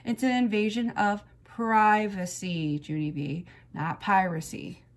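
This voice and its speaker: A woman voicing a stern, exasperated male schoolteacher in a storybook, measured and admonishing. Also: mid-range voice, steady tone, natural voice. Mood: correcting.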